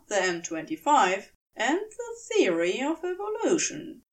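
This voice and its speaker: A woman narrating fiction as a scripted audiobook reading, expressive and measured, with deliberate pauses. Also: medium pitch, recorded from a distance. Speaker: podfic narrator.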